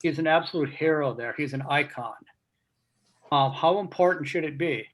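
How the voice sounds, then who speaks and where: A man talking casually as a guest on a video call, reflective and measured, often posing questions. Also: on the high side. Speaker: guest speaker, baseball writer. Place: remote video meeting. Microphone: video call microphone.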